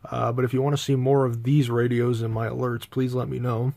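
An American man with a slightly gravelly voice giving a casual, halting, rambling video tour indoors on a handheld phone. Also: mid-range voice, little pitch movement, darkish tone. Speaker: host, hobbyist collector. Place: small bedroom, indoors. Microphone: handheld phone, speaker behind the camera.

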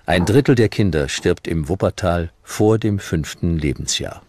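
Very deep-voiced documentary narrator, male. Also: moderate pace, mellow, breathy edge, no room sound.

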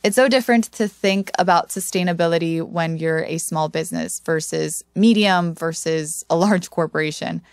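A woman's voice: fairly low pitch, normal speed, hardly any pauses, no room sound, clean studio sound. A woman hosting a podcast, moving between scripted narration and interview questions. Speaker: podcast host.

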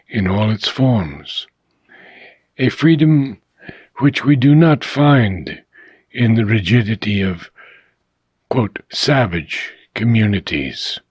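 A man reading a philosophical book aloud in a measured, deliberate way, pausing between short phrases, with a husky voice. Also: lowish voice, dry recording. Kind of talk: slow, deliberate audiobook reading.

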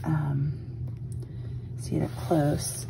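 A woman with a hoarse morning voice, chatting casually as she walks through a craft tutorial at her desk, often trailing off into soft murmurs. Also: low-pitched, little pitch movement, extremely slow, background noise. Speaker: art journaling instructor. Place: home craft desk, indoors.

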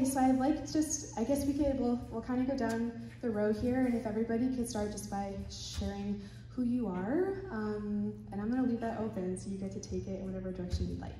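Moderator: woman, mellow tone, gentle and inviting, heard from far off in an echoey conference hall. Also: fairly high pitch, brisk, slight background noise. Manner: stutters at times, gentle and inviting. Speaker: panel moderator. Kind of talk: panel moderation. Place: hotel conference room with audience. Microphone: handheld mic, recorded from far in the room.

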